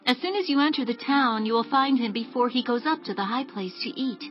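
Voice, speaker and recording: A woman's voice: on the high side, a little fast, lightly acted. An actress playing a helpful, eager young townswoman in a dramatized audio Bible, urging her listeners along. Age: young adult. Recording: dull lo-fi audio with music. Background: background music.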